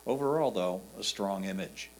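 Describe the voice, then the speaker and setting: A man with a fairly low voice reads written image comments aloud. The delivery is that of a reader working from text. A slight hum is heard behind him. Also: normal speed, darkish tone. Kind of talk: read-aloud critique. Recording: faint electrical hum.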